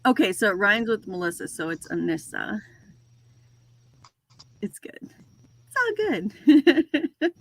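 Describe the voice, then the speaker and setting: A woman's voice: mid-range voice, huge pitch swings, slow, pauses often. A woman in her forties hosting a casual livestream chat, talkative and self-deprecating, laughing often as she tells stories. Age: 40s. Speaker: host of a reseller livestream.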